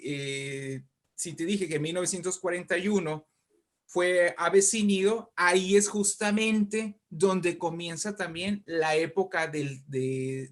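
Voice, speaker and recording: Male interview guest, voice on the high side. Animated, conversational storyteller who explains and checks in. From Mexico. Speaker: interview guest, writer and poet.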